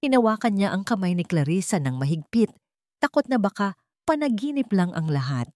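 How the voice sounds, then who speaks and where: A woman's voice: medium pitch, big pitch swings, brisk, a little mellow, no echo, studio quality. A woman reading a scripted dramatic love story as its narrator.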